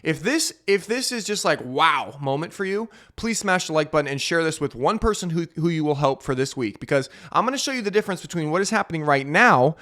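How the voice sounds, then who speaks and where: A man's voice: on the high side, lively intonation, bright, forceful tone. A man with a slightly sick, nasal voice walks through trading charts in a hyped, sarcastic way. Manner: hyped, sarcastic, fast-talking. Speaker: crypto YouTuber. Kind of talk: excited trading chart walkthrough.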